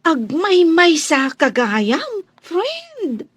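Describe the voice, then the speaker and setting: A woman playing a friendly young housemaid in a radio drama, polite and expressive. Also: extremely high pitch, drawn-out words, no room sound, heavily acted. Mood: chummy, sleepy. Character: friendly young housemaid, hard-working eldest sister.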